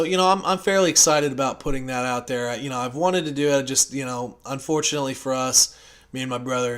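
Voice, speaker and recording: Casual, rambling American man, mid-pitched. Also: fairly bright tone. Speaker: YouTube channel host. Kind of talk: casual unscripted audio log.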